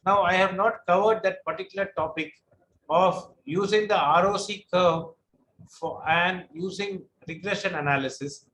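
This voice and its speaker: A man's voice: high voice, moderate pace, fairly bright tone, a little hiss or hum, low-quality recording. A middle-aged man lecturing online with a droning voice, answering questions patiently and explaining step by step. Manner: patient, explanatory, some repetition.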